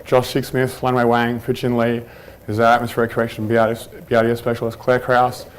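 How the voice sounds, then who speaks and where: A man giving an informal conference presentation on a handheld mic, chatty and relaxed, with plenty of ums and restarts. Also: medium pitch, fast talker, a little hiss or hum, poor audio. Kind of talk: informal conference talk with anecdotes. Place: conference room at a workshop. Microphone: handheld microphone.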